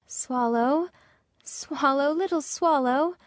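A woman playing a noble, sorrowful statue prince in a storybook, speaking gently, pleadingly and in measured phrases. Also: sits high, dry recording, clean studio sound. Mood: pleading, compassionate. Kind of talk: acted storybook dialogue.

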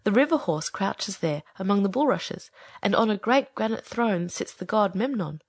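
A woman's voice: mid-range voice, expressive, moderate pace, dry recording, clean studio sound. A woman playing a small, good-hearted male swallow in a storybook, speaking earnestly and expressively. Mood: wistful, descriptive. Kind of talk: acted storybook dialogue.

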